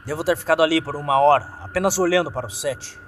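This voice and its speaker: A man's voice: a little high, quick pace, slight background noise. A man with a Brazilian Portuguese accent narrating a first-person horror story in a dramatic scripted style. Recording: faint music under the voice. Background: soft background music.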